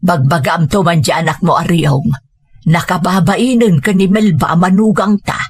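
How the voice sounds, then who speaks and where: A woman's voice: fairly low pitch, moderate pace, slightly rough. A woman playing a scolding, worried grandmother in a village family audio drama, speaking with an Ilocano accent in a nagging, reproachful way. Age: older.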